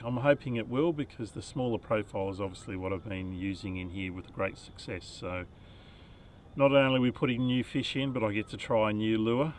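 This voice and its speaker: A middle-aged Australian man narrating a casual fishing vlog from a kayak, relaxed and chatty, recorded on a head-mounted action camera outdoors. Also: on the low side, a little hiss or hum. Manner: relaxed, chatty, muttering to the fish while fighting it. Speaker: fishing vlogger.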